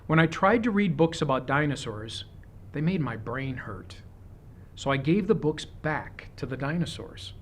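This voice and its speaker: A man with a fairly high voice reads a picture book aloud to young children. His phrasing is expressive and deliberate, in the manner of a storybook reader. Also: a little hiss or hum.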